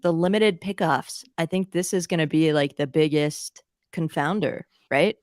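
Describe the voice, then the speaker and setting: A woman's voice: low voice, moderate pace, a little mellow, no room sound, studio quality. A woman from the southern United States co-hosting a baseball talk show, chatting casually. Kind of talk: casual chat.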